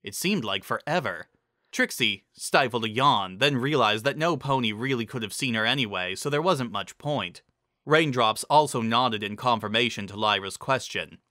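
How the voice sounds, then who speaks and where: A man's voice: medium pitch, lively intonation, normal speed, bright, forceful tone, no room sound, clean studio sound. A man giving a dramatic scripted narration of a story.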